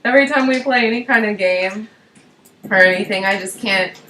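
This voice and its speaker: A woman's voice: mid-range voice, fairly quick, pushed and bright. A woman chatting casually as a co-op partner on a live video game stream. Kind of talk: casual chat while playing a video game. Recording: echoey room, background noise and clicks.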